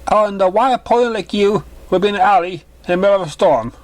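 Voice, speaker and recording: A motherly winged pony from a cartoon story, acted out by a man, raised well above his natural pitch. The mare is caring and reassuring, gentle yet firm with her words. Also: fast, no room sound, close to an everyday voice. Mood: concerned.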